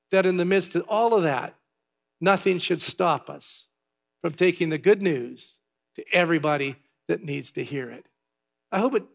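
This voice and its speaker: A pastor from Canada giving church announcements and a sermon in a chatty way, with asides and filler words. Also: male voice, on the high side, creaky. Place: large church hall. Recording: long hall reverb.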